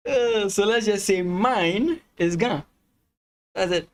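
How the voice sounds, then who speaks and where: A man's voice: high voice, takes it slowly. A man from Ghana, a native Ga speaker, commentating chess lines on a live stream, thinking aloud with hesitant restarts and easy laughter.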